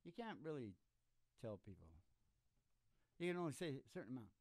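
An older man hosting in casual banter, rambling and halting, often trailing off, speaking close into a stage microphone. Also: medium pitch, big pitch swings, a little mellow, dry recording. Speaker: podcast host and country singer-songwriter. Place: small curtained studio stage. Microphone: stand microphone up close.

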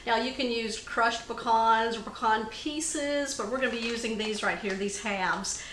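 A woman's voice: a little high, moderate pace, a bit bright, slight background noise. A woman hosting a home cooking demonstration in her kitchen, chatty and upbeat, talking to viewers as y'all. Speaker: cooking show host. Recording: echoey kitchen.

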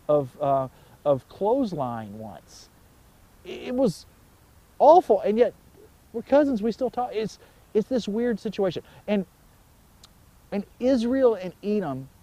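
Male pastor, forties, slightly high, soft-edged mellow voice; conversational Bible teaching with storytelling and pauses for emphasis. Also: no room sound. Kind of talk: informal sermon, explaining scripture. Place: outdoors by a wooded pond.

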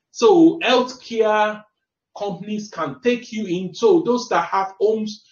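A man with a Nigerian accent explaining a website to viewers as a careers adviser, restarting and repeating phrases as he goes. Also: sits high. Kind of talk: screen-share walkthrough of a website. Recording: distant roomy mic, dull lo-fi sound.

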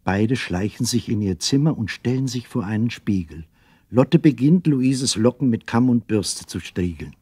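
A man narrating a children's radio play as an expressive storyteller with scripted delivery. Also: medium pitch, normal speed, husky, no echo. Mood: storytelling.

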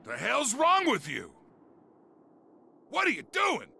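A man voicing a brash, arrogant alien warrior in an anime game, raspy, cocky and forceful. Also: very high voice, wildly swooping pitch, takes it slowly, heavily acted. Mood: alarmed, annoyed. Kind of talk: anime game dramatic acting.

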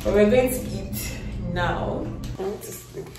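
A woman's voice: medium pitch, measured pace. A young woman in her twenties filming a casual travel vlog on a phone at arm's length, chatty and teasing. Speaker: vlogger. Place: outdoors at a waterfall and a boat, then a hotel room.

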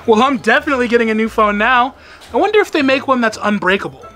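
A young man playing a phone-loving high school student who grumbles and defends himself in a scripted comedy skit. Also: lively intonation, fast talker, pushed and bright, full character voice. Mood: determined. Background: music bed.

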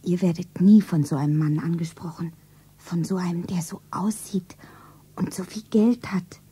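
An actress as a naive, girlish shop girl, softly muted. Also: lowish voice, moderate pace, close to an everyday voice. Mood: dreamy, confiding. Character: naive young shop girl, smitten yet cheeky. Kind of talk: 1970s German radio play acting. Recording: noisy 1970s radio play recording.

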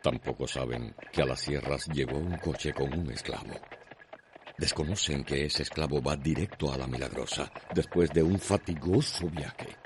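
A man narrating a radio soap in a solemn, measured, poetic manner. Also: very deep voice, noisy background. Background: music.

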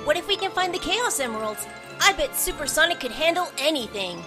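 A woman plays a brainy little boy fox in a comic dub. The voice is extremely high, earnest and explanatory. Music is clearly heard behind it. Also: sing-song, a little fast. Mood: earnest, clever.

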